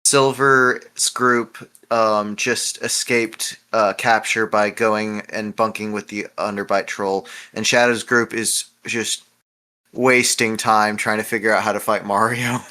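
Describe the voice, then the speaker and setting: A man's voice: lowish voice, flat delivery, takes it slowly, pushed and bright. A man hosting a casual podcast discussion, prompting the others with questions. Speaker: podcast host.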